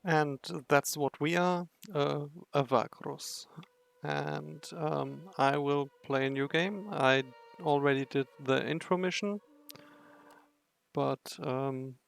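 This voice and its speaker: A man giving casual, unscripted commentary for a gaming video in a halting, hesitant way. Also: medium pitch, creaky.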